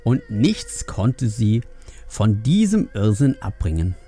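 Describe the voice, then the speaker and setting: Man doing an irate, animated panda father. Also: on the low side, slow, slightly rough, faint music behind, full character voice. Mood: angry, blaming. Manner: scolding, sarcastic, indignant. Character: irate, blaming middle-aged male panda father. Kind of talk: audiobook character voice.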